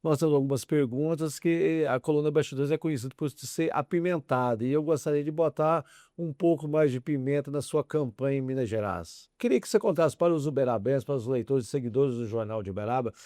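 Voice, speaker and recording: A man's voice: a little high, a little fast, dark tone, no room sound, studio quality. A male interviewer who introduces his guest and asks questions, with small restarts. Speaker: podcast interviewer. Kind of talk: interview hosting.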